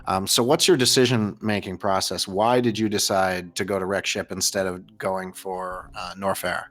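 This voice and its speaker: A man's voice: sits low, level tone, normal speed, a bit bright. An American man commentating and interviewing on a live gaming race in a casual, chatty, jokey way. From United States. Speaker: host and commentator.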